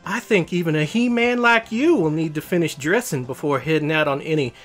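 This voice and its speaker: A man's voice: on the high side, fairly quick, a bit bright, pitched above the performer's own voice, natural voice. A man puts on a smiling cartoon princess for a storybook reading. She is wry and teasing, in a raised voice with music behind.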